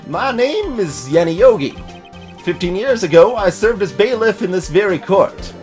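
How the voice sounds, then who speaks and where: A man voicing a bitter, vengeful old man in a video game, speaking in a dramatic, deliberate way. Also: high-pitched, very animated, darkish tone, soft background music. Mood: solemn.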